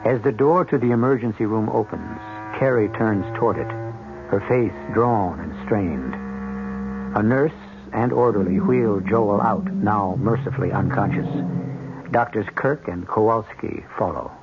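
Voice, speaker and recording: A man's voice: lowish voice, unhurried, background noise. A man narrating a radio mystery as host in an ominous, theatrical style. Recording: muffled old radio broadcast. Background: dramatic music.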